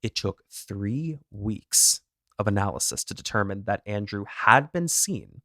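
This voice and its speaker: A man co-hosting a true crime podcast, walking through a case in a casual, animated storytelling way. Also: fairly low pitch, measured pace, dry recording. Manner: animated, self-deprecating, laughs at own pronunciation. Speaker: podcast co-host.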